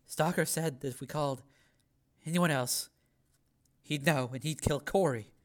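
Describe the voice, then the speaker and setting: Worried, demanding woman man reading in character: urgent, slightly raised pitch, thriller audiobook. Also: measured pace, lightly acted. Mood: anxious.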